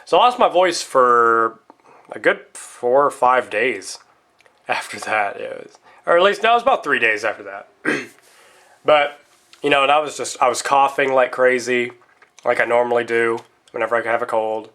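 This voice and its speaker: A man's voice: medium pitch, expressive, normal speed, pushed and bright. A man vlogging casually as he chats about pocket knives, his voice still a little stuffy after a cold, rambling and addressing viewers directly. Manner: rambling, talks straight to viewers as guys. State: stuffy nose, slight lingering cough after a cold. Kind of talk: casual chatty product vlog.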